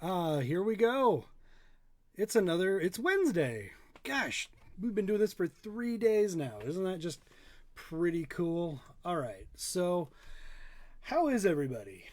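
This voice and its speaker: A man's voice: sits high, very animated, unhurried, darkish tone. A man hosting a casual online storytime for kids, chatting in a rambling, folksy, playful way. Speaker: storytime host, local dad.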